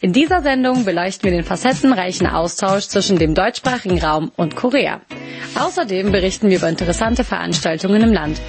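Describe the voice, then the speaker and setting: A young adult woman from Germany hosting a radio interview show, presenting clearly and in a friendly way. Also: mid-range voice, expressive, brisk, faint music behind.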